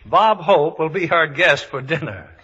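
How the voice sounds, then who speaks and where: A man hosts an old-time radio show with scripted polish. His voice is bright and a little high. The muffled recording rings with hall reverb. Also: expressive, measured pace. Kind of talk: scripted 1950s radio hosting.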